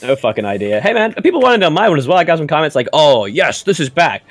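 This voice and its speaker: A man's voice: fairly high pitch, very animated, fast talker. A man co-commentating a strategy game with a friend, teasing him with dry jokes and frequent laughter. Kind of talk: casual gameplay commentary chat.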